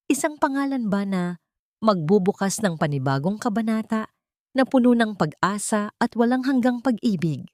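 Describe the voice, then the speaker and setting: A woman reading a scripted dramatic audio story as narrator, in a measured, emotive, literary way. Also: low voice, mellow, dry recording, clean studio sound.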